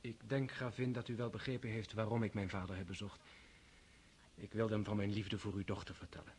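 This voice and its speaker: A man's voice: on the low side, flat delivery, a little fast, a little mellow, slight background noise, close to an everyday voice. An actor playing an earnest, formal young nobleman asking for a girl's hand in a radio play.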